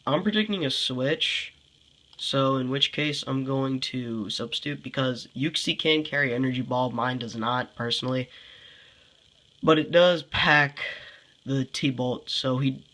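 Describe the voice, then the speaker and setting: A congested young man talks through his game moves at an unhurried, drawn-out pace. He thinks aloud, sighs often and sounds fed up, with a pitch that sits in the middle. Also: sharp tone, low-quality recording. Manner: sighing, frustrated, thinking aloud. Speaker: gameplay commentator. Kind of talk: casual game commentary.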